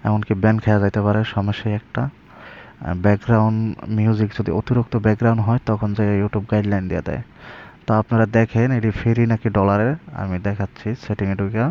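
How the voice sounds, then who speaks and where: A man's voice: lowish voice, gravelly, a little mellow. A man with a Bangladeshi accent giving a casual, colloquial tutorial and sales talk, often checking in with his listeners. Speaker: online seller and video presenter. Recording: slightly dull, lo-fi audio.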